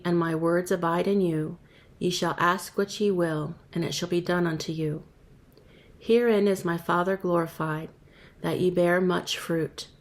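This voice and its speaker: A woman giving a measured, even reading of a long scripture passage. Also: on the low side. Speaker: scripture reader.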